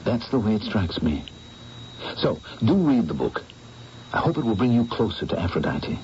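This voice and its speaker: A man's voice: lowish voice, big pitch swings, normal speed, a little mellow. An actor playing a male painter who narrates his own story in an old radio drama, earnest and persuasive. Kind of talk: 1970s radio drama acting. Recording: dull old radio broadcast, faint hiss.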